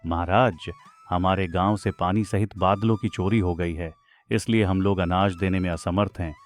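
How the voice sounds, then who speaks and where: A clever village farmer played by a man in an animated moral tale. He speaks respectfully but boldly in a fairly low voice. Faint music runs behind him. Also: moderate pace, close to an everyday voice. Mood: politely cunning. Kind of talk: animated moral story dialogue.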